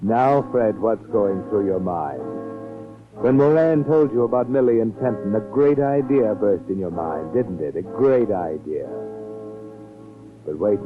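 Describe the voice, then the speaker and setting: Deep-voiced sinister radio mystery narrator. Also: male voice. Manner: insinuating, knowing, mocking. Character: sinister, all-knowing male narrator taunting a schemer in second person. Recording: tinny 1940s radio recording with music.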